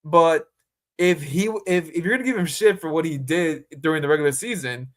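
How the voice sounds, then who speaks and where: A man's voice: fairly high pitch, brisk. A man in his twenties, a guest reporter on a sports podcast, talking emphatically and casually, often repeating himself. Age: 20s.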